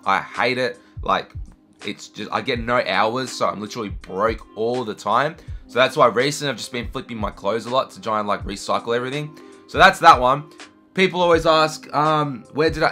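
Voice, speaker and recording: Slangy young guy, just-woke-up deep voice. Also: sharp tone. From Argentina, raised in Australia. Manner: slangy, laid-back, swears casually. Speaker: fashion YouTuber. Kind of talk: casual vlog Q&A. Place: bedroom with shoe shelves, indoors.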